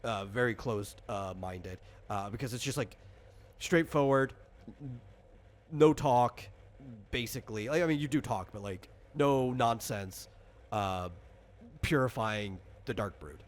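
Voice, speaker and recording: A man's voice: mid-range voice, a little slow. A man casually walking a player through a tabletop game as game master, rambling, explanatory and freely opinionated.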